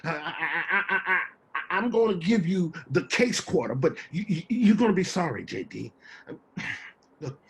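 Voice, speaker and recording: A man's voice: high voice, animated, slightly rough, full character voice. An actor playing an old homeless street man, wry and weary, rambling colloquially in a play reading. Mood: agitated, teasing. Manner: colloquial, musing, drawn-out repetitions. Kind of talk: dramatic table reading of a play. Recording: faint room noise, video call.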